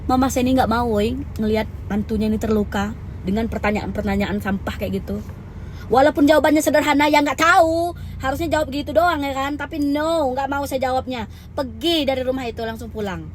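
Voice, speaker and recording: Young woman vlogger, higher voice, blunt and emphatic venting, faint traffic behind. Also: fairly quick. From Lampung, Indonesia. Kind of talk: candid storytelling rant.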